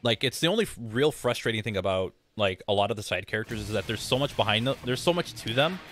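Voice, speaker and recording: A man's voice: lowish voice, expressive, fast talker, a bit bright. A young man chatting casually as he streams a game, rambling and giving his opinions. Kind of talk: casual live game commentary and chat. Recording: game music behind the voice. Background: video game music and sounds.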